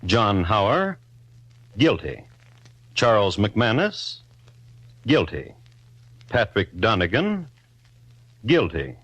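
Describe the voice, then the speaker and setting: A man narrating a 1950s radio crime drama in a dry, measured storytelling manner. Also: fairly low pitch, wildly swooping pitch, a bit bright, slightly rough, no echo, rough recording.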